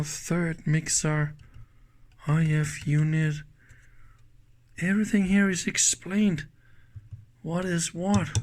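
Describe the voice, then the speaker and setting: A man doing casual, hands-on teardown commentary, thinking aloud and openly admiring the equipment. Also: a little high. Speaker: electronics teardown host.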